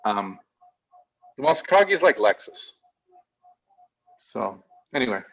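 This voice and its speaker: A man's voice: mid-range voice, very animated, measured pace, creaky, fairly bright tone, dull audio. A man co-hosting a casual live-stream chat, reading out viewer questions in a joking, chatty way. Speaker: co-host of a knife shop Q&A stream.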